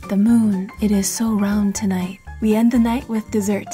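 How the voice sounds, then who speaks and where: A woman's voice: medium pitch, level tone, normal speed, warm tone. A woman giving cheerful, punny scripted voice-over narration for a food vlog. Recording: music bed under the voice.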